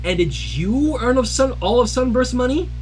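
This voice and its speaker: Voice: medium pitch, expressive, normal speed, faint music behind, voice pushed up, lightly acted. A reader voicing a brash, protective young male dragon with a sly vengeful streak in a dramatic story reading. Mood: indignant. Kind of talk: dramatic fan fiction reading.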